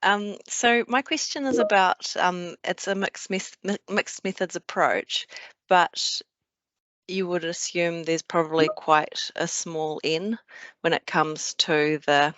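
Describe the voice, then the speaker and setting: A woman's voice: on the low side, steady tone, slow, fairly bright tone, no echo. A woman with a New Zealand accent asks a hesitant question in an online seminar, her speech full of restarts. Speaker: webinar attendee asking a question. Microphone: video call. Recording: dry, muffled video-call audio.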